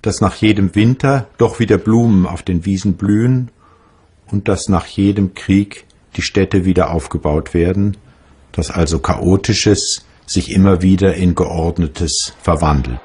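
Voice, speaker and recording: Actor as deep, husky, reflective male physicist. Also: darkish tone, full character voice. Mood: calm, reflective. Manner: measured, philosophical. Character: reflective male German physicist recalling his memoirs. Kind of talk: dramatised memoir reading.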